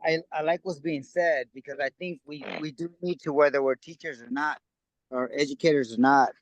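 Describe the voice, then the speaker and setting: Man, casual, stammering restarts, medium pitch, dry sound. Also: fairly even intonation, a little fast, no room sound. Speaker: reading group member. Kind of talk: casual discussion.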